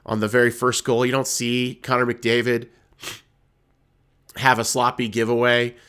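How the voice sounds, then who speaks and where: A man hosting a postgame hockey stream, talking loosely with many fillers and restarts, a little hoarse from being sick. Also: mid-range voice, level tone, unhurried, a bit bright. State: hoarse from illness. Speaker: hockey podcast host. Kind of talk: casual postgame analysis.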